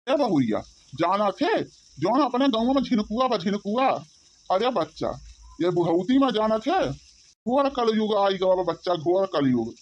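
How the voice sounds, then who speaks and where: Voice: mid-range voice, sing-song, a little slow, muffled recording, slight background noise. An actor voicing a grumbling, suspicious elderly village father-in-law in a comedy cartoon, rambling with a rustic Awadhi accent. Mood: gossipy, anxious. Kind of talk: comic cartoon dialogue in village dialect.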